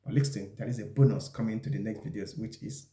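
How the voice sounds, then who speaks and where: A man presenting an instructional talk, explaining things deliberately and speaking straight to the viewer. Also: on the low side, steady tone, mellow. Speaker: video presenter giving job interview advice. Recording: echoey, roomy sound.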